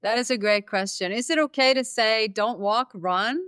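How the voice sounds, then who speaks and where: A man in his fifties from Norway, a college dean, speaking in a measured way with dry humor in a casual interview. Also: high-pitched, pushed and bright, clear, resonant tone, dry recording. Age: 50s. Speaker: dean of academics.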